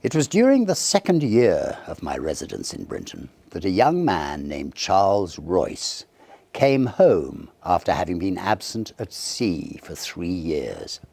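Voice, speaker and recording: A man reading a Victorian ghost story aloud in a measured, dramatic and expressive storytelling manner. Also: low voice, gravelly, no room sound. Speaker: audiobook narrator.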